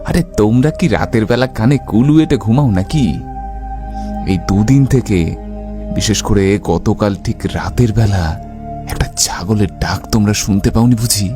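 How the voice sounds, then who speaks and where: A man's voice: on the low side, unhurried, over music, lightly acted. A man playing an uneasy, exasperated village tradesman in a cartoon, earnest and grumbling. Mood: exasperated, insistent. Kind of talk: cartoon voice acting.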